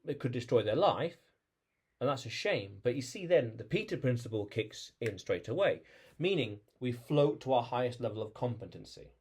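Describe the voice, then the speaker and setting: A man's voice: medium pitch, expressive, moderate pace, a little mellow, husky. A man vlogging alone, thinking aloud about identity, with frequent restarts and repeated phrases. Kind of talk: reflective solo monologue.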